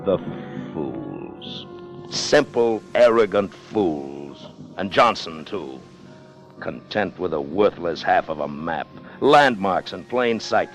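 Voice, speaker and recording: An actor in a 1950s radio drama playing a hard, greedy ship's officer, commanding, with old-fashioned seafaring speech. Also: male voice, low voice, exaggerated voice, rough recording. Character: hard, scheming, greedy sailing-ship officer leading a treasure hunt. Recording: 1950 radio broadcast with music underneath.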